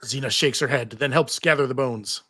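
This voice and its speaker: A man's voice: medium pitch, moderate pace, sharp tone, breathy edge. A man serving as narrator, reading stage directions in scripted narration at a live table read.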